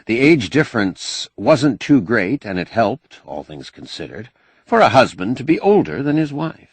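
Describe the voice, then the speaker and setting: A man narrating fiction as an audiobook, in an expressive, measured storytelling manner. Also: medium pitch, slightly rough, no echo.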